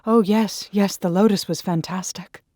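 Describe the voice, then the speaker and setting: A woman acting a desperate, addicted woman in audio fiction, nervous and eager, with a flustered, halting and emphatic delivery. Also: medium pitch, fairly even intonation, dark tone, dry recording, clean studio sound, pitched above the performer's own voice, lightly acted. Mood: nervous eagerness.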